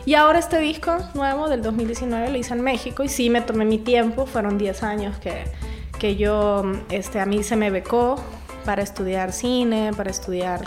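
A woman in her forties with a Salvadoran accent, a singer-songwriter talking as an interview guest, chatty and quick to laugh. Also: a little high, fairly even intonation, faint music behind. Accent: Salvadoran Spanish. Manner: chatty, laughs often, restarts words. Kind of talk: casual interview chat.

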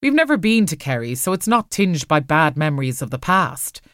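A woman narrator voices a devoted, caring man in a storybook. He sounds earnest and reassuring, with lively intonation, recorded dry and close. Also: low-pitched, moderate pace, no room sound. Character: devoted, happy, reassuring man, protective of partner.

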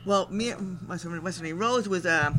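A woman's voice: on the low side, moderate pace, pushed and bright. A woman chatting casually, giving short answers and rambling through old memories. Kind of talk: casual group chat. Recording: noisy crowded room.

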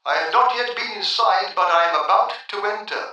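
An actor playing a solemn, formal doctor investigating a haunted house, reporting deliberately in 1940s radio horror style. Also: male voice, sits high, bright, forceful tone, muffled recording. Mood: hushed, intent. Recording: very echoey, distant vintage radio recording.

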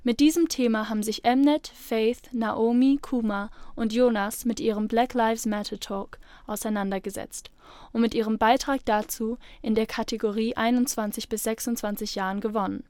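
A woman's voice: fairly high pitch, steady tone, moderate pace, a little mellow, no echo. A woman reading a scripted introduction as an announcer. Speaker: announcer introducing award entries. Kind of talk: scripted narration.

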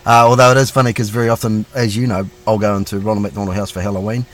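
A man's voice: lowish voice, flat delivery, fast, a bit bright. A middle-aged man, a police officer chatting casually and self-deprecatingly as an interview guest.

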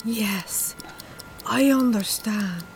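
A woman's voice: medium pitch, extremely slow, faint music behind. An actress playing an obliging Irish bingo-hall woman in an audio drama, with a simple, compliant manner. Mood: entranced, obedient.

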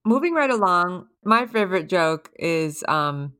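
A middle-aged woman co-hosting a casual chat with her grown daughter, modest and gently wry. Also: fairly low pitch, big pitch swings, measured pace. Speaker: co-host, mother.

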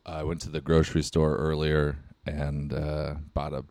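Man, deep low voice, deadpan banter, podcast studio mic. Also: moderate pace, dry recording. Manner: deadpan, insists calmly. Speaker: podcast co-host. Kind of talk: joking casual banter. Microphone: studio microphone with headphones.

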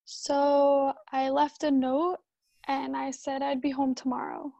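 A troubled, weary young wife and daughter , acted by a woman in a radio drama. Her voice is high and hesitant, on a dull, lo-fi recording with no room echo. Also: flat delivery, slow, gravelly, dark tone, full character voice. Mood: frustrated, troubled. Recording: dull, lo-fi sound.

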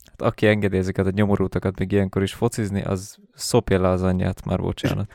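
A man's voice: low-pitched, completely flat delivery, creaky, darkish tone, dry recording, studio quality. A man hosting a podcast in casual chat, with an irreverent, sweary way of talking. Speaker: podcast host.

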